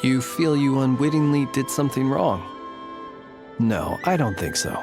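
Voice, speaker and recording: An actor playing a solemn, courteous graveyard lightkeeper in a video game, speaking in a formal, measured, old-fashioned way. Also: male voice, medium pitch. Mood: gentle, reassuring. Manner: formal, measured, archaic diction.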